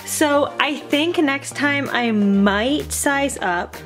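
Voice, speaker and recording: A woman's voice: sits high, extremely slow, fairly bright tone, soft background music. A woman in her twenties chatting casually to a vlog camera at home, informal, slangy and enthusiastic. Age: 20s. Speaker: vlogger. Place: indoors at home, kitchen, bedroom and living room.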